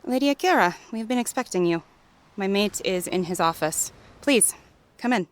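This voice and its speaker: A woman's voice: medium pitch, animated, normal speed, natural voice. A woman playing the gracious wife of a werewolf pack leader in a fantasy audio drama, polite and formal.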